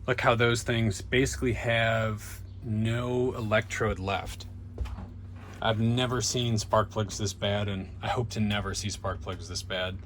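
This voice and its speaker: A man's voice: fairly low pitch, fairly bright tone. Man vlogging casually over vehicle noise. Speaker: DIY car repair vlogger. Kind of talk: casual vlog talk, narrating own work.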